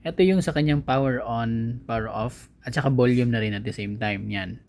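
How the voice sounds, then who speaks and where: A man's voice: mid-range voice, moderate pace, a little mellow. A man with a Filipino accent giving a casual unboxing and review, informally explaining things step by step to his viewers. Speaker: vlogger, product reviewer.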